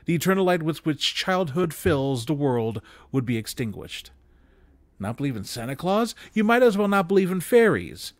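A man reading classic prose aloud expressively, with measured, dramatic emphasis, close to a desk microphone. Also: on the high side, sing-song, no room sound. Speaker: reader of holiday stories aloud. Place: small indoor room with bookshelves. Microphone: desk condenser microphone, close. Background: music.

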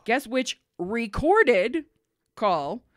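A woman co-hosting a casual podcast, telling work stories with lively acted-out quotes and frank swearing. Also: a little high, drawn-out words, no echo, studio quality. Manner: animated storyteller, acts out callers and swears freely. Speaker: podcast co-host. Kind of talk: casual storytelling chat.

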